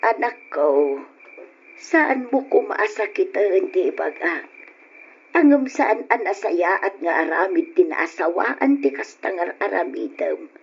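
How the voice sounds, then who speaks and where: A woman's voice: fairly low pitch, lively intonation, measured pace, gravelly, husky, heavily acted. A woman with a Filipino Ilocano accent playing a worried, middle-aged mother who probes and admonishes her grown daughter in an old-time radio drama. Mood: reproachful, concerned. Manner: probing, admonishing. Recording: dull old radio recording.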